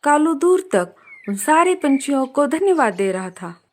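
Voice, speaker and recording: A woman storyteller with a slightly high voice. She delivers scripted narration for a children's cartoon tale. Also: big pitch swings, unhurried, a little mellow.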